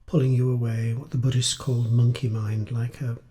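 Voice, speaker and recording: A man guides a meditation with a dark, relaxed tone and a middling pitch. His delivery is gentle and unhurried, leaving space between short phrases as he instructs the listener. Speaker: meditation teacher.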